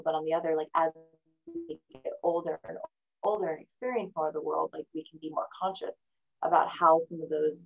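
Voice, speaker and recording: A woman's voice: deep voice, gravelly, narrow, tinny audio. A young adult woman, Mandarin her first language, talking thoughtfully in a casual interview and laughing often. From born in China, raised in the United States. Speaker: guest.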